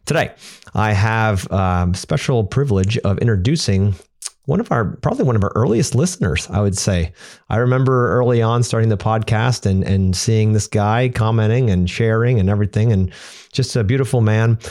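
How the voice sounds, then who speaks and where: A man's voice: lowish voice, lively intonation, normal speed, a little mellow. A middle-aged man hosting a podcast, upbeat and a little rambling, repeating words as he talks to his guest. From Pennsylvania. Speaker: podcast host. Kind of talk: podcast interview.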